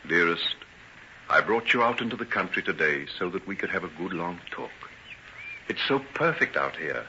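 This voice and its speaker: A dull old radio recording carries a guilt-ridden gentleman doctor. The voice is low and very forceful, swinging widely in pitch. The phrasing is formal, earnest and theatrical. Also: lively intonation, moderate pace, slight background noise, exaggerated voice. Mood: tender, sorrowful. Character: tormented, guilt-ridden gentleman doctor, tender and self-sacrificing. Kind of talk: old-time radio drama acting.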